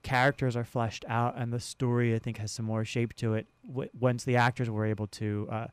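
A man speaking conversationally as an interview guest, halting and often restarting his phrases. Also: medium pitch, level tone, smooth, dry recording. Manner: halting, with repeated words and restarts. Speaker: sound designer and guest.

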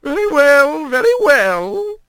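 A man voicing a gentle, proper old grandmother opossum in a storybook reading, prim and measured. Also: very high voice, sing-song, no room sound, studio quality, voice pushed up, full character voice. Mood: fond.

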